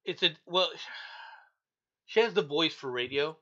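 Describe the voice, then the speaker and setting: A man's voice: a little high, slow, a bit bright, muffled recording. A male talk show host giving blunt, opinionated news commentary, repeating himself for emphasis.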